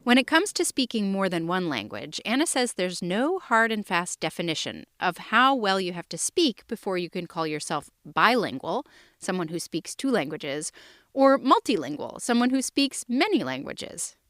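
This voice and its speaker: A woman hosting a children's podcast, introducing guests and explaining ideas to young listeners in a friendly, lively way. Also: medium pitch, moderate pace, dry recording, clean studio sound. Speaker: podcast host. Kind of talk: scripted kids' podcast narration.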